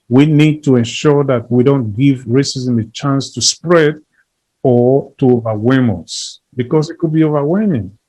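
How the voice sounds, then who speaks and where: A man's voice: medium pitch, a little mellow, husky, low-quality recording. A man as an interview guest, speaking earnestly and emphatically, often repeating phrases and building lists. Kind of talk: earnest conversational advocacy.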